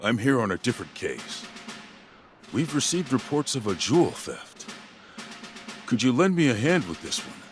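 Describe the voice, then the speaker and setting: A man playing a courteous, serious police inspector in a video game, measured and matter-of-fact. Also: medium pitch, sing-song, faint music behind, lightly acted. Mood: businesslike. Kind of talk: video game cutscene acting.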